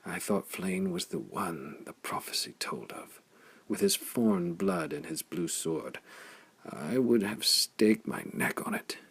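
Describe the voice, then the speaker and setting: A man voicing a weary, sorrowful old warrior, speaking in a gravelly, husky, heavy-hearted way. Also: low voice, expressive, takes it slowly, fairly bright tone, full character voice. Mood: grieving.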